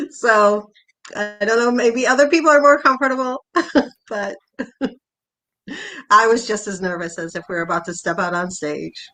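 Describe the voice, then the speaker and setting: A woman's voice: fairly high pitch, a bit bright, low-quality recording. A woman performer chatting casually as a panelist on a video call. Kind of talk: casual chat.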